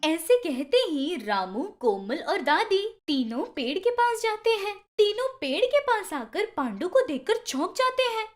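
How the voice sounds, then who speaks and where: Woman, children's story narrator, high voice, lively intonation, scripted delivery, background music. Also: measured pace, few pauses.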